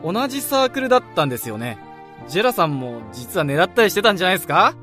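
An actor in a Japanese radio drama playing a cheeky, chatty young junior colleague in a breezy, teasing way. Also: male voice, high voice, sing-song, rapid-fire, pushed and bright, faint music behind, heavily acted. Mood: curious, teasing. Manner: breezy, teasing, polite-casual. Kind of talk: casual radio drama dialogue.

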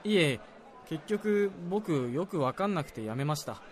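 A man's voice: a little high, animated, measured pace, a faint crowd. A man acting an earnest, self-doubting young salaryman in a radio drama, speaking deferentially and confidingly. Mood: regretful. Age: young adult. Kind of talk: Japanese radio drama dialogue.

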